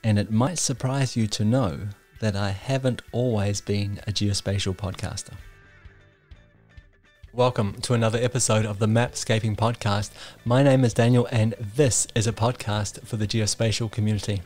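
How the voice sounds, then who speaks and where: A man's voice: fairly low pitch, steady tone, normal speed, a little mellow, faint music behind. A man, hosting a podcast and telling his own story in a candid, self-deprecating way, with small restarts. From New Zealand. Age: 40s. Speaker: podcast host. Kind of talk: personal storytelling monologue.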